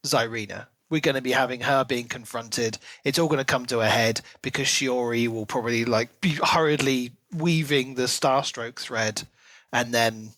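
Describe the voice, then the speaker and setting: A man's voice: mid-range voice, a bit bright, dry recording. Man chatting casually, close dry sound. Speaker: book podcast co-host. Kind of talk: casual book discussion.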